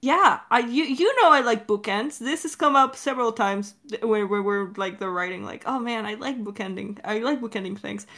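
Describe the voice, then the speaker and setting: A woman co-hosting a podcast in a casual Q&A chat, talking in an animated, informal, rambling way. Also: fairly high pitch, normal speed, hardly any pauses. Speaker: podcast co-host and audio drama director.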